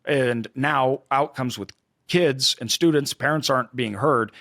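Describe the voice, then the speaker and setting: A man's voice: mid-range voice, a little slow, a bit bright, no room sound, clean studio sound. A man co-hosting a podcast, talking emphatically and critically, asking rhetorical questions. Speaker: podcast co-host. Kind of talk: opinionated casual discussion.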